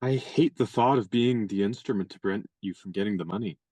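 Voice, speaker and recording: A gallant young gentleman, played by a man, courteous and gently wry, with a mid-range voice. He speaks in measured, polite turns as in a radio play. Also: lowish voice, slightly rough.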